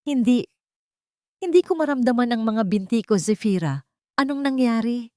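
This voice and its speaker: A woman's voice: fairly high pitch, expressive, normal speed, darkish tone, no room sound, studio quality, pitched above the performer's own voice, natural voice. A woman with a Filipino accent voicing an anguished, bitter injured man in a story, with desperate, self-loathing delivery. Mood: fearful, desperate. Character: anguished, bitter injured man pushing loved one away.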